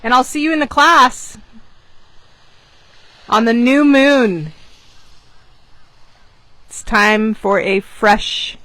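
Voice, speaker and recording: A woman who grew up in England with American parents tells a personal story as a manifestation coach, expressively, with dramatic pauses for emphasis. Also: a little high, fairly bright tone, no echo, low-quality recording. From born in Japan, grew up in England, American parents. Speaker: manifestation coach and class teacher. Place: outdoors on a beach.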